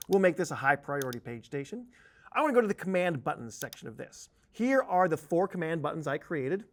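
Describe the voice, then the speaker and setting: A man with a slightly high voice leads a software tutorial. He explains things informally, as a trainer walking learners through a screen, and drops in playful asides. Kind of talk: conversational instructional screen-share tutorial.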